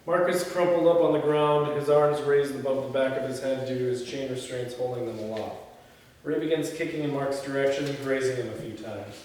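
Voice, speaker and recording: A man's voice: mid-range voice, steady tone, brisk, a little mellow, slight background noise. A man at a table read, reading screenplay directions and chatting casually with the group. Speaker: script reader at a film table read. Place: echoey indoor room. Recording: very echoey room, far from mic.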